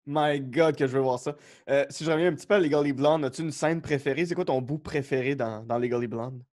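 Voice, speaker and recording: A man's voice: mid-range voice, fast talker, darkish tone. A man with a Québécois French accent hosting a podcast, chatting and asking questions in an informal, agreeable way. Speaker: podcast host. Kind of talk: casual chat, interviewing.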